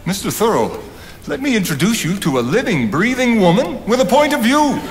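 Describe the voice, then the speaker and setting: An older actor playing an assured, provocative philosopher, eloquent and rhetorical in theatrical stage style. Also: male voice, sits high, very animated, a little fast. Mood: wry. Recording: reverberant theatre hall, background hiss.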